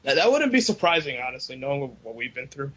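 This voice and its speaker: A man's voice: medium pitch, sing-song, quick pace, fairly bright tone. A young adult man chatting casually as co-host of a fan podcast over a voice call. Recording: dull lo-fi internet call.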